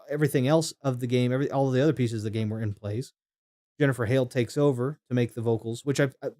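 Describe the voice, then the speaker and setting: A man's voice: mid-range voice, a little mellow. A man streaming a game, talking casually and rambling through opinions, with frequent restarts and chat comments read aloud.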